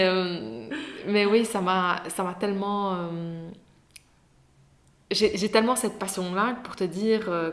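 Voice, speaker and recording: A woman's voice: on the low side, a bit bright. A young adult woman, an interview guest telling her story with lots of fillers and restarts. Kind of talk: casual interview conversation.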